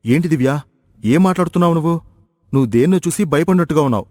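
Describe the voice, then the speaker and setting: A man's voice: fairly high pitch, quick pace, a little mellow, dry recording, studio quality, lightly acted. Earnest young husband, voiced by a man, emphatic. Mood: alarmed, firm. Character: earnest young husband and protective elder brother.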